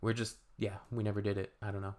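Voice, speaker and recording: A man's voice: lowish voice, flat delivery, quick pace, darkish tone. A man, hosting a reaction video in a loose, rambling way with shrugging asides. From Canada. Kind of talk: casual reaction commentary.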